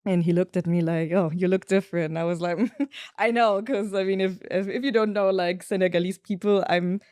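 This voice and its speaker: A young woman from Germany, a podcast guest, telling travel stories in a thoughtful, lively way. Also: medium pitch, moderate pace, smooth, no echo, studio quality. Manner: thoughtful storytelling, lively when quoting others. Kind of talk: casual conversational interview.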